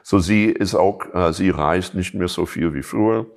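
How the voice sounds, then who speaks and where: Deep gravelly man, American accent. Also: normal speed. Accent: American English. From Chicago, USA. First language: English. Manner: hesitant, many fillers and restarts. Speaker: jazz musician and teacher, guest. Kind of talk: casual interview talk.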